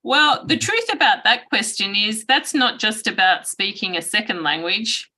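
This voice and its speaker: A woman from Australia giving an online guest lecture to students, speaking in a slow, measured way and clearing her throat often. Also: medium pitch, animated, pushed and bright.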